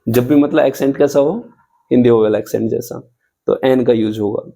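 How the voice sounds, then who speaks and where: A man teaching a grammar lesson, explaining rules slowly and spelling out examples, often asking the students questions. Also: mid-range voice, steady tone, creaky, warm tone. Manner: slow, deliberate, repeats and spells out examples.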